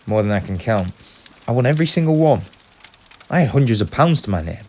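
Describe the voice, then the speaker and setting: A man's voice: mid-range voice, expressive, a little fast, a little mellow, lightly acted. A man playing a weary, easily tempted traveller in an audio drama, speaking in a hesitant, natural, conversational way. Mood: rueful.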